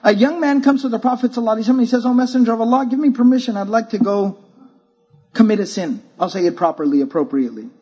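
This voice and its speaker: A man preaching to a conference crowd, casual and joking one moment, earnest the next. His voice sits fairly high and sounds a bit husky. The recording is muffled and lo-fi. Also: animated, racing, warm tone. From Texas. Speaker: speaker at a religious conference. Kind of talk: sermon to a youth-focused audience.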